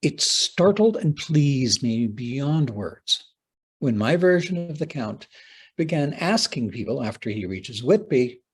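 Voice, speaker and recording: A man delivers a prepared lecture in a husky voice at a slow pace. His phrasing is theatrical and deliberate, setting words apart with dramatic pauses, like a writer presenting his own work to a conference. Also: mid-range voice, very animated. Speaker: playwright giving a talk.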